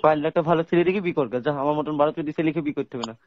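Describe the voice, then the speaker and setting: A man's voice: a little high, very fast. A man in a heated personal argument on a noisy recorded phone call, speaking bluntly and confrontationally.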